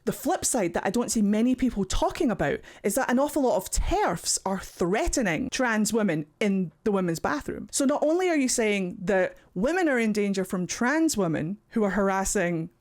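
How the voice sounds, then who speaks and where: A man with a Scottish accent, hosting casual reaction commentary from his warm home office, animated and encouraging, sometimes swearing in passing. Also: sits high, moderate pace, hardly any pauses. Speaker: YouTube reaction host.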